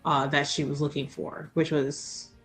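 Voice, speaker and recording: Woman, slow delivery, informal and emphatic, tabletop player, casual game chat. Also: low voice, flat delivery, fairly bright tone, soft background music.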